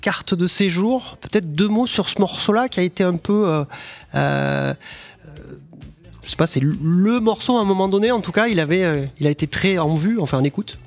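A man's voice: high-pitched, normal speed, vocal fry, soft background music. A man hosting a radio interview, hesitant and full of fillers and restarts. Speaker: radio show host.

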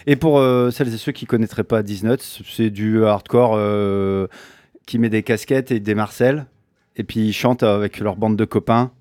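A man's voice: lowish voice, moderate pace, a little mellow, dry recording, clean studio sound. A man casually presenting music on the radio, hesitant, with frequent fillers and restarts and an offhand, joking tone. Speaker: radio host.

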